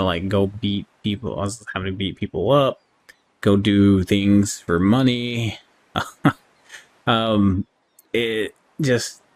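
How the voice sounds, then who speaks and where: A man's voice: fairly low pitch, darkish tone. A man giving a casual game review, rambling and thinking aloud as he goes, with an easy readiness to chuckle. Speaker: gaming channel host. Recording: clearly audible background noise.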